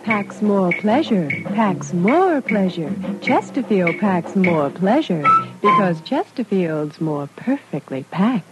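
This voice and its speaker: A woman's voice: mid-range voice, big pitch swings, slow pace, few pauses, poor audio. A woman delivering a 1950s radio advertising jingle. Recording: vintage radio, loud music. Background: loud jingle music.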